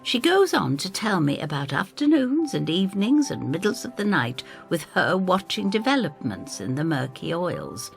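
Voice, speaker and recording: A motherly landlady, played by a woman, narrates an audio story. Her voice is low, creaky and very expressive in pitch. She is homely, wry and confiding in how she tells it. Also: normal speed, few pauses, soft background music. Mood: storytelling, intrigued. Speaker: first-person narrator. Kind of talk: dramatised scripted storytelling.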